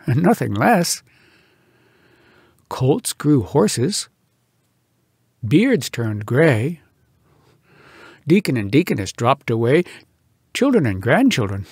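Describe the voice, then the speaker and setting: Male storyteller, slow deliberate pace, highly varied intonation, theatrical folksy delivery, humorous poetry reading. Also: creaky, darkish tone, slightly rough, no room sound, clean studio sound. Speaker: storyteller, audiobook narrator. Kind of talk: animated dramatic reading of humorous verse.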